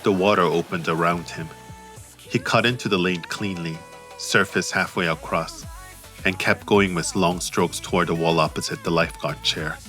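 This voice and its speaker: Very deep-voiced man narrating an audiobook. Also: fairly even intonation, normal speed, bright, forceful tone, faint music behind. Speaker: author and narrator.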